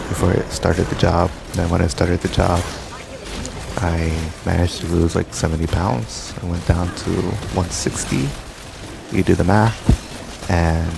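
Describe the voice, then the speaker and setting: A man's voice: deep voice, vocal fry. A young man rambling casually over gameplay, drifting through loose stories full of fillers. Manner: loose, meandering, filler-heavy storytelling. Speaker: gaming commentator. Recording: background noise.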